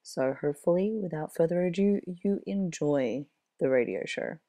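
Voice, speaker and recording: A young man with a deep voice, giving a casual, hesitant spoken intro to his show. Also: expressive, normal speed, warm tone. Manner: explaining, hesitant with fillers. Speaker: show host.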